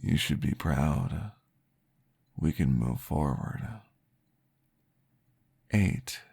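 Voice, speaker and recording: A man voicing a calm, patient male vampire therapist, soft-spoken and reassuring, often dropping into a whisper in an ASMR roleplay. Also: very deep voice, drawn-out words, heavily acted. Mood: soothing whisper. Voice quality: gravelly, husky. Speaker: therapist guiding a listener.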